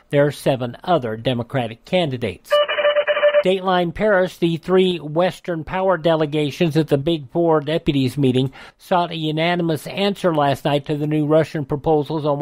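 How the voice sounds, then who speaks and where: A man's voice: on the high side, darkish tone, no echo. A man hosting a radio show, reading news stories from a script.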